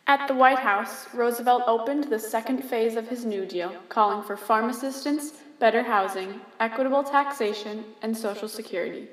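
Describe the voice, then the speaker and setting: A woman's voice: a little high, normal speed, few pauses, roomy sound, a little hiss or hum, poor audio. A young woman reading a scripted news report as the presenter of a mock 1930s radio broadcast.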